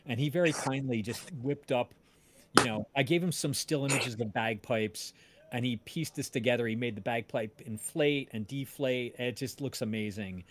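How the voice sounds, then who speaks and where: A man's voice: medium pitch, a little hiss or hum. A man telling a story casually on a livestream, rambling and often interrupting himself. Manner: rambling, halting, self-interrupting. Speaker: podcast creator and voice-drama maker.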